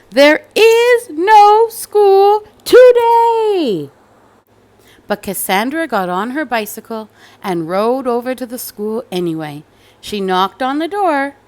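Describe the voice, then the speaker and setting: Slow, very animated woman storyteller. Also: mid-range voice. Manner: emphatic, dramatic. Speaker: storybook reader. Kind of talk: expressive children's story narration.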